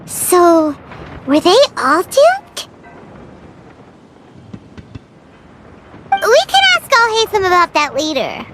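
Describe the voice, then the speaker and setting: A woman voicing a small, childlike, cheerful and loyal game companion with a squeaky voice. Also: huge pitch swings, a little slow, noisy background, exaggerated voice. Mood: curious. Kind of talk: video game voice acting.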